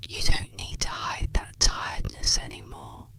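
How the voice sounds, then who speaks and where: ASMR relaxation guide, breathy whisper, crisp bright edge, heavy crackle, gentle, reassuring. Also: a bass voice, huge pitch swings, a little slow. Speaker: ASMR therapist roleplayer.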